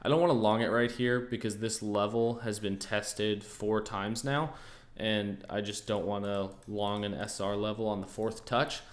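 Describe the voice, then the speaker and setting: A young man with an American accent and a lowish voice hosts a relaxed livestream. He chats with viewers in an easygoing way, thinks out loud, and leans on fillers and restarts as he goes. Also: normal speed. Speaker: trading livestream host. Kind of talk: casual livestream chat answering viewers.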